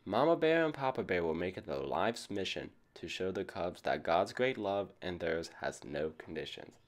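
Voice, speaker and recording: Man, low voice, storybook reading for kids, teacherly. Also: big pitch swings, moderate pace. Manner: asks young listeners questions as a teacher would. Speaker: storybook reader for children. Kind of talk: children's picture book read-aloud.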